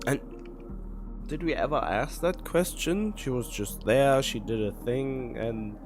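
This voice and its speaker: A man streaming a game, commenting casually and haltingly as he thinks out loud. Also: medium pitch, animated. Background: game music.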